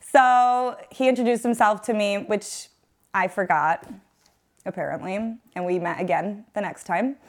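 A talkative woman in her twenties chats as a podcast guest, warm and outgoing, often breaking into laughter. Her naturally big voice is reined in to a calm, friendly tone, sitting at a middle pitch. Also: unhurried. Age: 20s. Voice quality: loud voice held back to a calm tone. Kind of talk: casual chat.

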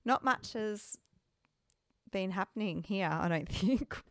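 An Australian woman co-hosting a casual podcast chat, a mother, easygoing and quick to laugh. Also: mid-range voice, lively intonation, dry recording, clean studio sound. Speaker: podcast co-host.

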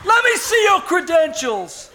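A man's voice: very high-pitched, huge pitch swings, pushed and bright, a little hiss or hum, heavily acted. A man playing a blustering, suspicious police officer in an old-time radio comedy, with an exasperated, commanding manner. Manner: exasperated, commanding, drawn-out exclamations.

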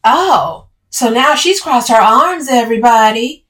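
An overbearing, bossy woman in a dramatic audiobook, acted out by a female narrator. Her voice sits high and she pushes every phrase hard, confrontational and emphatic. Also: lively intonation, slow pace. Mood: taunting, angry. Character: Camille, overbearing, furious, taunting woman, bossy cousin.

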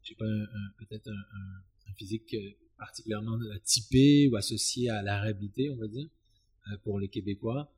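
A man answering as an expert guest in an interview, explaining in a halting, searching way and often repeating words. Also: on the low side, a little mellow, slightly rough. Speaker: expert guest, sociology professor.

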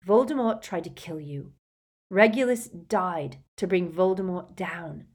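A woman's voice: fairly low pitch, unhurried, darkish tone, breathy edge. A woman voicing a hot-tempered, determined teenage boy in an audiobook, blunt and insistent. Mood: baffled, accusing.

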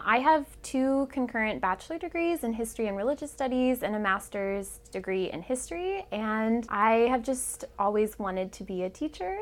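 A woman whose first language is Serbian talks as a podcast guest about her teaching. Her voice is a little high, and she sounds upbeat, with light laughs between her answers. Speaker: third grade teacher, guest. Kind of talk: conversational interview.

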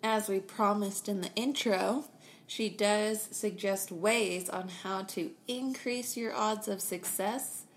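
A woman's voice: medium pitch, steady tone, takes it slowly. A woman co-hosting a casual faith-based chat podcast, with a mid-range voice. She speaks in an encouraging way and banters playfully, with a faint hiss behind her. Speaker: podcast co-host.

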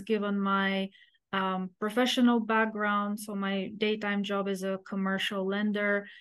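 A middle-aged woman from Bulgaria, a podcast guest answering questions in an articulate, measured way with frequent pauses. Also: medium pitch. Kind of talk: interview answers.

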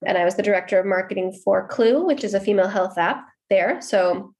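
A woman's voice: mid-range voice, a little fast, darkish tone. American woman explaining with easy confidence. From Seattle, USA. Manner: friendly, explanatory, occasional restarts. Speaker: guest, marketing executive. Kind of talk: casual interview answers.

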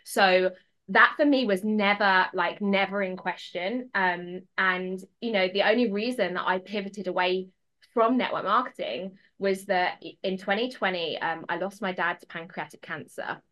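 A woman with a bright, forward voice at a middling pitch talks as a guest on a podcast. She is conversational and earnest, often doubling up phrases to drive a point home. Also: moderate pace. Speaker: podcast guest, personal transformation mentor. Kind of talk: casual conversational interview.

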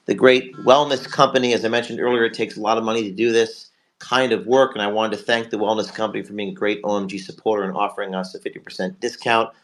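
A male host of a live online talk show, hoarse from a cold, talking in a rapid, rambling way as he promotes sponsors and questions guests. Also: on the low side, steady tone, fairly bright tone, poor audio.

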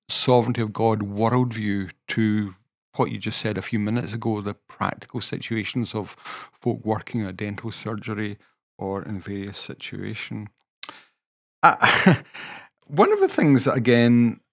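A middle-aged man with a Scottish accent leads the conversation in a chatty, good-humoured way. His voice sits a little low, and he often jokes and laughs between questions. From Scotland. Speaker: interviewer, minister. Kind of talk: conversational interview.

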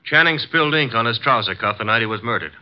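An actor playing a wry, cocky young male assistant detective, with a brisk, wisecracking delivery in 1950s radio drama style. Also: mid-range voice, animated, clear, resonant tone, natural voice. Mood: eager reasoning. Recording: dull lo-fi 1950 radio transcription.

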